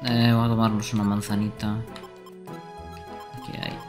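A man's voice: on the low side, completely flat delivery, normal speed. A young man giving casual live gameplay commentary as a streamer. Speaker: game streamer. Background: loud game music.

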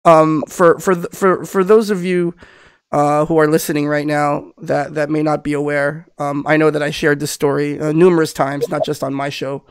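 Middle-aged man hosting, crisp dry voice. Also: on the high side, level tone, normal speed, no room sound. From born in the Philippines, raised in Southern California. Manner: rambling, many restarts and fillers. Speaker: podcast host. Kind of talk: casual video-call podcast interview. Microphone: headphones with studio mic on webcam.

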